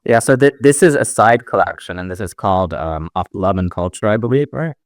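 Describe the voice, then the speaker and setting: A man's voice: lowish voice, lively intonation, a little fast, no echo, clean studio sound. A man hosting a casual live audio chat, asking upbeat questions with lots of fillers.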